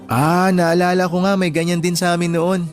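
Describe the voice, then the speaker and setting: A man's voice: sits high, level tone, normal speed, soft background music, close to an everyday voice. A man playing a shy, humble and deferential young man in a radio drama. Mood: polite, shy. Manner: polite and deferential. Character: humble, shy, self-effacing young layout artist born one-handed.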